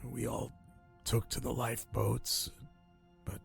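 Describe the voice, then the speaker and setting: An actor playing a dying old castaway in a radio drama, weak and haunted, telling his story in halting, solemn, old-fashioned phrases. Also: mid-range voice, flat delivery, soft background music. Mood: weary, haunted. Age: older. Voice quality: weak, raspy, breathy.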